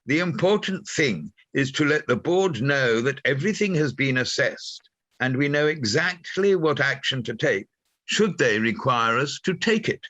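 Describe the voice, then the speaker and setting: A man plays a pompous general manager in a radio play, fussy and risk-averse. His voice is bright and forceful, swooping up and down as he lays out corporate jargon with great emphasis. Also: medium pitch, animated, a little slow. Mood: pompous, emphatic. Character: self-important, risk-averse bureaucratic male station manager. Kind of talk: radio comedy-drama dialogue.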